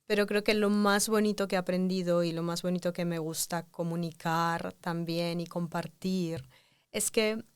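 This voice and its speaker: A woman in her forties, a doctor guest on an interview, speaking calmly and reflectively. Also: lowish voice, moderate pace, smooth, no echo, clean studio sound. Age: 40s. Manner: calm, reflective, explains thoughtfully.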